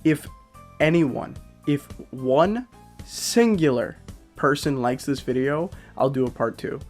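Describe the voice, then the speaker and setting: A man's voice: mid-range voice, slow pace, faint music behind. A young man talking casually as a YouTube reviewer, rambling and poking fun at himself, with lots of fillers. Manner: rambling, self-deprecating, many fillers. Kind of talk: casual vlog talk.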